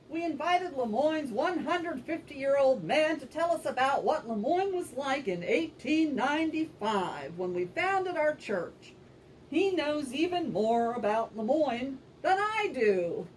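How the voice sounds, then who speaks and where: A woman performing scripted puppet show narration, telling things in an animated way for children, recorded at some distance from the microphone. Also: sits high. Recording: distant microphone, faint background noise.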